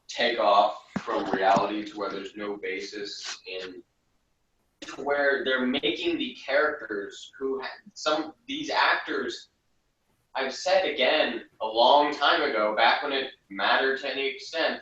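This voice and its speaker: A man giving casual, critical opinions on a TV show in a hesitant, halting way. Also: mid-range voice, sharp tone. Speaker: podcast reviewer. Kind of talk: casual TV review chat. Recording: roomy, distant, slightly muffled.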